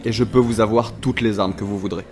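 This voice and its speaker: A man's voice: fairly low pitch, fairly even intonation, brisk, background noise, close to an everyday voice. A man playing a scheming mastermind in a comic audio drama, formal, sarcastic and impatient. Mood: persuasive. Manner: dry, sarcastic, polite but impatient.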